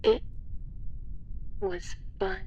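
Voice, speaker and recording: A woman playing a terrified young female caller in a horror audio story, halting, breathy and shrieking by turns, with something monstrous in her. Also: darkish tone, muffled recording. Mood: eerie mocking. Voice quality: breathy whispers and piercing shrieks. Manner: panicked, halting, drawn-out pleading.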